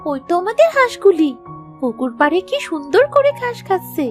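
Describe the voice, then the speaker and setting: A woman voicing an eager, excitable young village daughter in a children's cartoon story. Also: very high voice, brisk, faint music behind, pitched above the performer's own voice. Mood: delighted, eager.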